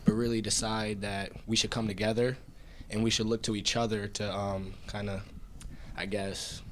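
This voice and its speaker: A teenage boy, a student guest, speaking in searching, halting phrases. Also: on the low side, little pitch movement, a bit bright, slight background noise. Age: teens. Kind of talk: casual chat.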